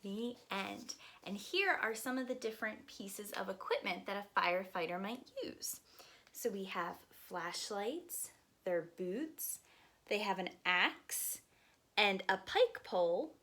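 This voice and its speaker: A woman's voice: mid-range voice, expressive, slow, fairly bright tone. A woman preschool teacher hosting a read-aloud story time, speaking in simple phrases to young children.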